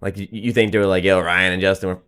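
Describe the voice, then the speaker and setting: A man hosts a casual chat. He talks very quickly in a slightly low voice, slangy and packed with "like". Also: little pitch movement, fairly bright tone, clean tone. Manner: slangy, heavy use of "like". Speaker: podcast host.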